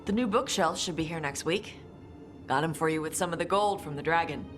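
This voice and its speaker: An actress playing a blunt, guarded young dragon hunter in a video game, speaking in terse, casual phrases. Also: female voice, sits low, fairly quick, a bit bright. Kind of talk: video game cutscene acting. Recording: music behind the voice.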